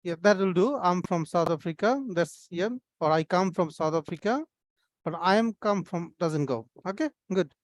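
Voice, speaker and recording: A man's voice: high-pitched, moderate pace. A man teaching an online English class, patiently correcting his students' grammar over a video call.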